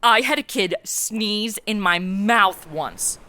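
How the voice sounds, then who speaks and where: A woman's voice: mid-range voice, expressive, slow pace, bright, forceful tone. A woman playing a brash, lazy, self-indulgent modern party girl with a sarcastic, whiny manner in a comedy audio drama. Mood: flippant.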